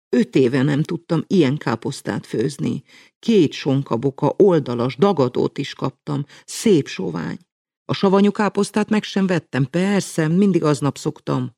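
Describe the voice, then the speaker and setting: A man voicing a tired, flustered middle-aged mother who rambles apologetically in a high falsetto. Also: creaky, dark tone, no echo, clean studio sound.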